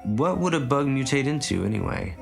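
A man's voice: mid-range voice, sing-song, fairly quick, creaky, faint music behind, pitched above the performer's own voice. A man voicing a weary, brooding male zoo owner who speaks heavily. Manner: heavy, tired.

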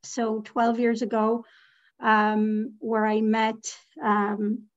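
Woman from Israel, interview guest, unhurried, full of ums and restarts, muffled lo-fi sound. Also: a little high, completely flat delivery. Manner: hesitant, many fillers and restarts. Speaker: guest, real estate lending executive.

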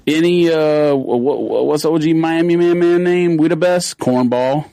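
A man's voice: fairly high pitch, measured pace, creaky, warm tone. A man co-hosting a casual podcast, teasing and blunt, who trails off while searching for words. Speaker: podcast co-host. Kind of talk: casual sneaker podcast chat.

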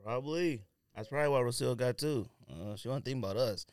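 A man's voice: medium pitch, fast talker, smooth, no echo, studio quality. A man co-hosting a podcast in casual banter, talking in a slangy, joking, rambling way. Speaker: podcast co-host.

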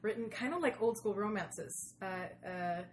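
A woman's voice: mid-range voice, animated, moderate pace. Hesitant woman, noisy background. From Sweden. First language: Swedish. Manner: hesitant, searching for words. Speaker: podcast guest, romance podcaster. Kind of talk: casual interview chat. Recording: clearly noisy background ambience.

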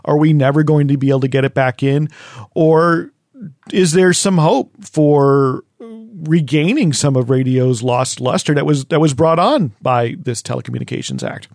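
A man's voice: fairly high pitch, expressive, moderate pace, a little mellow, dry recording. Man, host, dry close sound, thoughtful long questions, fillers. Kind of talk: interview questions.

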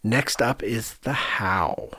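A man's voice: fairly low pitch, expressive, slow pace, pushed and bright, husky, no echo. American man talking casually, dry close sound. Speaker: podcast co-host and architect. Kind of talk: casual conversational discussion of architecture.

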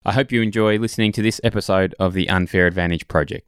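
A man co-hosting a podcast, reading a scripted episode introduction in a measured way. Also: deep voice, fairly even intonation, clean tone, no room sound, studio quality. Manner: measured, read-from-script delivery. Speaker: podcast co-host.

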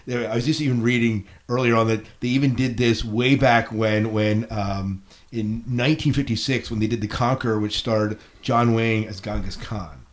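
A man hosting a casual podcast chat, repeating words and halting as he puts points to his co-hosts. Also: lowish voice, fairly even intonation, a little hiss or hum. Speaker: podcast host.

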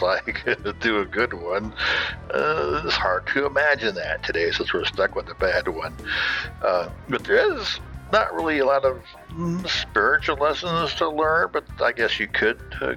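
A man's voice: fairly low pitch, sharp tone, rough recording. A man hosting a podcast solo, talking casually in a hesitant, rambling way. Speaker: podcast host.

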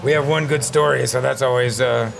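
Gravelly-voiced man hosting from a bar. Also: mid-range voice, fairly quick. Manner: relaxed, rambling, laughs easily. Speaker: podcast host. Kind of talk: casual bar-side chat. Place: busy bar. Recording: noisy bar background.

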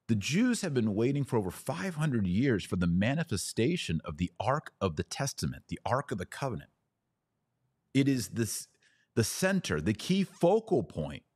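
A man hosting a podcast, giving an emphatic theological teaching talk with rhetorical questions and dramatic pauses. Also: lowish voice, darkish tone, husky. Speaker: podcast host.

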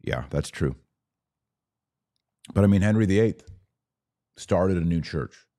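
American man, very low voice. Podcast host, conversational but preachy, talking faith and politics to live viewers. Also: animated, slow pace, warm tone. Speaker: podcast host and religious commentator. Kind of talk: live religious and political commentary, answering viewer comments.

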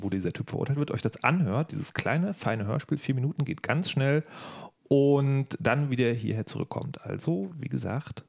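A man's voice: mid-range voice, darkish tone. A man hosting a podcast in a casual, playful way, often breaking off and rephrasing his sentences. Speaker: podcast host. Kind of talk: casual podcast moderation, conversational.

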